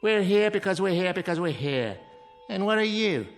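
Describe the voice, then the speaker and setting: Casting: world-weary mocking clown for radio play; grand, wordy, lightish pitch, very lively swings. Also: male voice, moderate pace, faint music behind. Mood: taunting. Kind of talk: radio drama acting.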